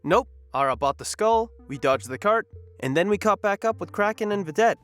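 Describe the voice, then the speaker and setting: A performer playing a casual, good-humored space crewmate in an audio drama, flippant and deadpan. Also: medium pitch, brisk, soft background music, natural voice. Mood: breezy.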